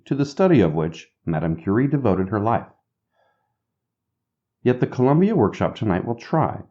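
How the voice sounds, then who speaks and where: A man's voice: lowish voice, mellow, highs cut off. A man as a formal, declamatory announcer reading a script in 1930s radio style.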